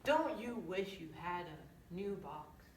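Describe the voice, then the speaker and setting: Teen acting out a boastful young show-off, slow, bright voice, smug, stage storytelling, echoey distant recording. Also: mid-range voice, lively intonation. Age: young teenager. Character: boastful young wemmick showing off his new things. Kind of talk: readers theater storytelling. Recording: echoey room, distant mic, background noise.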